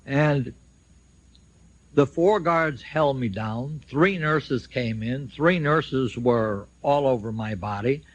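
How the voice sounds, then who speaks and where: Older male speaker, husky and unhurried, emphatic public storytelling on a dull lo-fi radio recording. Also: medium pitch. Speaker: speaker at a symposium, recounting his own legal case. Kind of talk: public speech, personal storytelling.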